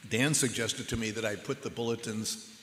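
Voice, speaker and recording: A man preaching a sermon as pastor in a church, with a measured, earnest delivery. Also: lowish voice, fairly even intonation, noisy background. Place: church sanctuary. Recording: echoey hall with long reverb.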